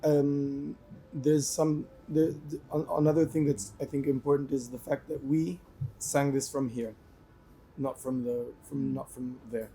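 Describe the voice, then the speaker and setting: A man's voice: mid-range voice, little pitch movement, unhurried, warm tone, soft background music, rough recording. A man from a band answering interview questions thoughtfully, with frequent restarts. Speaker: band member.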